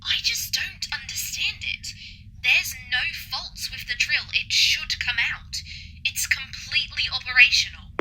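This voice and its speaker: A woman playing a tense, brisk astronaut in a science fiction audio drama, clipped and matter-of-fact. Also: high voice, very noisy. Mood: frustrated. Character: tense, brisk female astronaut working on drilling equipment.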